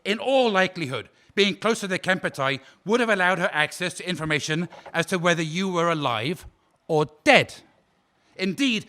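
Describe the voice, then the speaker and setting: A man's voice: high-pitched, bright, forceful tone. A man acting a 1940s court barrister, cross-examining in a pointed, accusing way. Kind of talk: radio drama acting.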